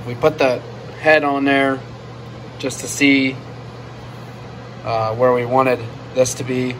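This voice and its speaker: A young man talks through a shop project in a flat, even voice with little rise and fall. The sound is phone audio in a cold shed, with a heater humming clearly underneath. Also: medium pitch, noisy background. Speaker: DIY video co-host. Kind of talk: casual how-to narration.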